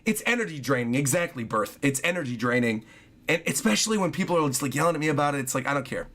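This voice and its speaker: Hoarse high-voiced male streamer. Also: expressive, fast, fairly bright tone. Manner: chatty, apologetic, thanks viewers often. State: hoarse, scratchy voice. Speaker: streamer reading viewer donations. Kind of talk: casual livestream chat.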